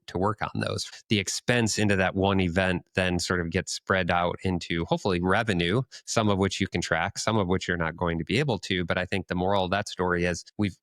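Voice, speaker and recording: A man co-hosting a podcast, explaining his points in a measured, conversational way. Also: deep voice, a bit bright, dry recording, clean studio sound. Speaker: podcast co-host. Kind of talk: conversational business discussion.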